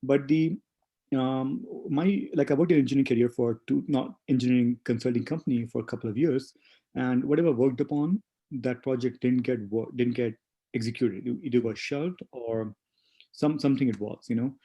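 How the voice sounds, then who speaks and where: A man in his forties who grew up in India and speaks English as a second language, reflecting slowly with many pauses and fillers as an interview guest. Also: mid-range voice, dark tone, slightly rough. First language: not English. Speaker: guest, spa owner. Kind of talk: casual interview conversation.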